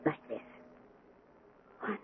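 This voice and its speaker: An actor playing an extortionist who gives slow, hushed, commanding orders in a radio drama. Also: male voice, a little hiss or hum. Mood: menacing. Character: menacing extortionist giving cold orders. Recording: tinny old radio broadcast recording.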